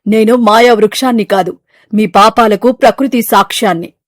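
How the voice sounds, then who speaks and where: A woman's voice: mid-range voice, moderate pace, dry recording, studio quality, natural voice. Solemn ancient mother tree spirit voiced by a woman. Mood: grieving, reproachful. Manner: solemn, grave, declamatory. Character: ancient sacred mother tree, solemn, stern yet forgiving. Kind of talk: animated moral story dialogue.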